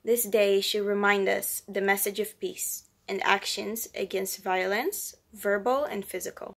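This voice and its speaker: A female high school student delivering a short prepared memorial speech. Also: steady tone, a little slow.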